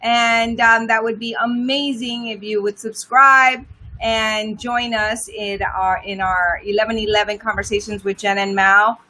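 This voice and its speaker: A woman co-hosting a casual bilingual morning chat, bubbly and quick to laugh, moving between Spanish and English. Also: fairly high pitch, steady tone, sharp tone. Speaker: co-host.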